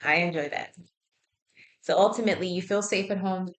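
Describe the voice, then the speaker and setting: A woman's voice: fairly low pitch, fairly quick. A woman working as a healthcare intake interviewer, asking health history questions conversationally and methodically, checking each answer.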